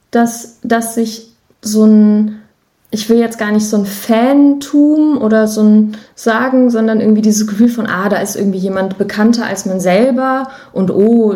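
A woman's voice: mid-range voice, unhurried, warm tone. A woman from Germany speaking casually and reflectively as an interview guest, thinking aloud as she goes. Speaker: guest, musician and songwriter.

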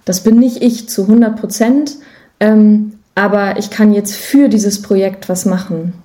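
A woman with a soft-edged, mellow voice at a middle pitch talks as an interview guest. She is chatty and candid, explaining her work in a casual, self-deprecating way. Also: steady tone, a little slow. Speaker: podcast guest, musician and voice artist.

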